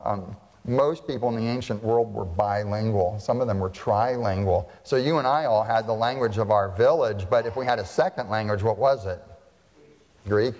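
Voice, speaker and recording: A man's voice: fairly low pitch, moderate pace, darkish tone, big-room sound. Man preaching a conversational sermon. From United States. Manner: conversational, asks the audience questions. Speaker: pastor.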